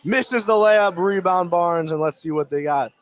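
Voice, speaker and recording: A man doing live play-by-play radio commentary of a high school basketball game in clipped running phrases. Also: normal speed, slight background noise.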